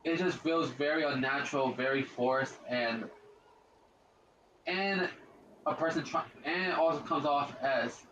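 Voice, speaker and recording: Gaming streamer, man, deep voice, loose rambling commentary with a faint hiss. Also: unhurried, fairly bright tone, echoey room, highs cut off. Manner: rambling, repeats himself, slangy. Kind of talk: casual let's-play commentary.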